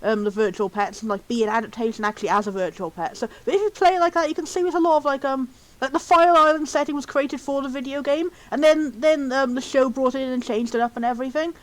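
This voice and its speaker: The voice sits high and could be a man's or a woman's. It hosts in a loose, casual commentary style. Sentences halt, circle back and wander off into tangents as the speaker rambles along. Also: poor audio. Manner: rambling, halting, full of tangents and chuckles. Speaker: host of a video series.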